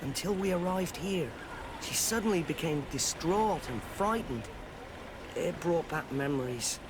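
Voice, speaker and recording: An actor voicing a friendly, courteous ship's sailor in a video game, polite and earnest. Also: male voice, on the high side, expressive, unhurried, noisy background. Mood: warm, earnest.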